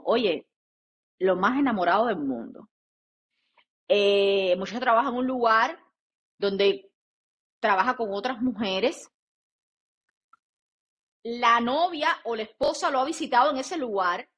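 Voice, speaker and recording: A woman's voice: fairly high pitch, a bit bright, husky. A woman with a Cuban accent co-hosting a casual chat, talking emphatically and addressing listeners directly. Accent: Cuban Spanish. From Cuba. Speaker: podcast co-host.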